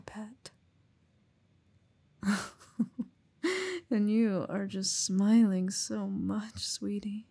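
A woman whispering slowly and teasingly into a close mic, in an affectionate, intimate roleplay. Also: mid-range voice, dark tone, slightly rough. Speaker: audio roleplay performer.

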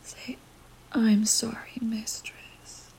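A woman voicing a dominant, teasing young woman in a whispered ASMR roleplay, speaking in a breathy whisper with a slow, commanding manner. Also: on the high side, flat delivery, mellow, heavily acted, poor audio.

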